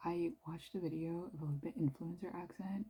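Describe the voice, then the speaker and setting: A young Canadian woman with a low-ish voice, chatting quietly and rambling about her reading, with plenty of "like". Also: fairly even intonation, brisk, breathy edge, poor audio. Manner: talking quietly, near-whispered; rambling, filler-heavy with "like". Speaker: book vlogger. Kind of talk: casual chat.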